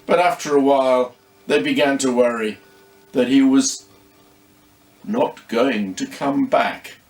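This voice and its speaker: A man reading a story expressively, with dramatic pauses. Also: mid-range voice, a little mellow, echoey room, poor audio. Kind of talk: scripted story narration.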